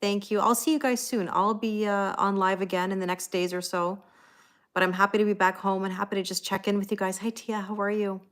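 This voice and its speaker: A woman's voice: mid-range voice, little pitch movement, brisk. A middle-aged woman hosting a tarot livestream, chatting casually and thanking viewers by name.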